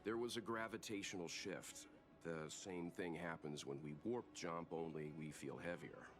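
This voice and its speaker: A man playing a composed, erudite game character who lectures in a measured, matter-of-fact way. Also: deep voice, faint music behind, close to an everyday voice. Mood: calm, analytical. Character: composed scholarly older man, analytical lecturing traveler. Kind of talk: video game voice acting.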